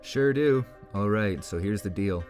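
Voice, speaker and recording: A man's voice: fairly low pitch, animated, unhurried, a little mellow. An actor playing a laid-back, playful male secret agent with a breezy, teasing manner in an audio drama. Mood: casual briefing. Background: music.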